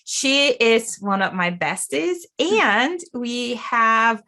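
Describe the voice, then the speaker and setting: A woman hosting an interview, her voice bright and forward. She talks eagerly and loosely, restarting sentences and stacking questions as she goes. Her enthusiasm carries every line. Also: fairly high pitch, very animated, extremely slow. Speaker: podcast host. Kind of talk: casual interview.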